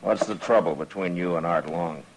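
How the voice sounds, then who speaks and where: An actor playing a steady, authoritative frontier marshal in an old radio western, laconic, firm and dryly wry. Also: male voice, deep voice, moderate pace, natural voice. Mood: firm, commanding. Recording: noisy vintage radio recording.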